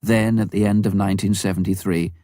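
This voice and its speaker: A man narrating a memoir from a script, reading in a measured, deliberate way with pauses. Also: fairly low pitch, fairly even intonation, warm tone, no room sound, studio quality. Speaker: audiobook narrator.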